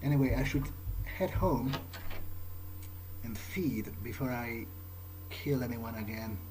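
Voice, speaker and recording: A man's voice: medium pitch, takes it slowly, background noise. A man doing in-character gameplay commentary, thinking aloud in a hesitant, musing way. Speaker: let's player. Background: game ambience.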